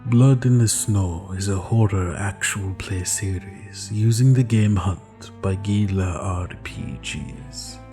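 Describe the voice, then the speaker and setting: A man's voice: low-pitched, slow pace, few pauses, mellow, faint music behind. A man reading a scripted podcast introduction as an announcer.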